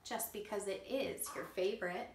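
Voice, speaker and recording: A woman, a kindergarten teacher, gently reading a picture book aloud to children and pausing to ask them questions. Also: medium pitch, noisy background. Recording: echoey room.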